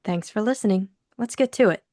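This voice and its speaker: A woman's voice: medium pitch, a little fast, warm tone, no room sound, studio quality. A woman reading a scripted introduction and novel narration as co-host and narrator.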